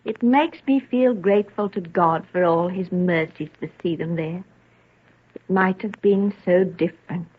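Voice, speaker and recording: An actress playing a gentle, devout older mother in a vintage radio drama, speaking in a genteel, sentimental way. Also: on the low side, unhurried, husky. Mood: grateful, tender. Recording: dull old radio recording.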